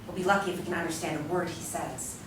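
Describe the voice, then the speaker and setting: An actress playing a bitter, sharp-tongued, accusing mother in a dramatic script reading. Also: lowish voice, fast, close to an everyday voice. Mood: hostile, worried. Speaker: actor in a staged script reading. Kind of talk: dramatic screenplay table read. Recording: echoey, distant, noisy room recording.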